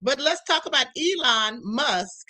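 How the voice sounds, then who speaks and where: Bright-voiced sassy woman host. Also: high voice, expressive, measured pace. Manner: sassy, emphatic, says "honey" and "okay". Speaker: livestream host. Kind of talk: political commentary chat.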